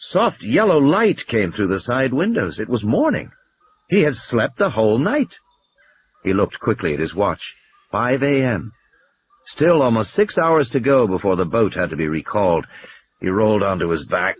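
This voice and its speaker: A man's voice: on the low side, slight background noise, low-quality recording. A man reading scripted fiction as an audiobook narrator, in a dramatic storytelling style, with music and sound effects behind him.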